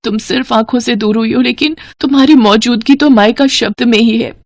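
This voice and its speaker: A woman's voice: on the high side, a little fast, no echo, clean studio sound, pitched above the performer's own voice, close to an everyday voice. A woman voicing an earnest, wistful young mother and daughter in a sentimental cartoon drama. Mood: tearful, wistful. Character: sentimental grown daughter and mother visiting her childhood home.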